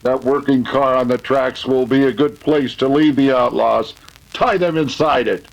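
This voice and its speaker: A man's voice: medium pitch, moderate pace, vocal fry, muffled recording, over music, close to an everyday voice. A man playing a resolute masked cowboy hero, giving formal, authoritative orders in an old-time radio western.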